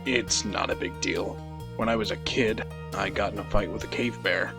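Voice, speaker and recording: A man's voice: on the low side, fairly even intonation, normal speed, vocal fry. A man playing a reserved, guarded young bodyguard in an audio drama. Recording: music behind the voice.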